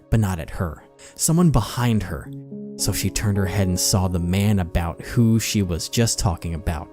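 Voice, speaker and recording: A man with a fairly low voice reads a story aloud as a dramatic narrator. His delivery is theatrical, and soft music plays behind him. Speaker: channel host reading fan fiction aloud. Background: background music.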